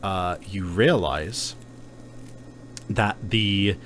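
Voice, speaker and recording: A man narrating a tabletop roleplaying game as game master, in a halting, conversational storytelling manner. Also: low voice, fairly bright tone, slight background noise.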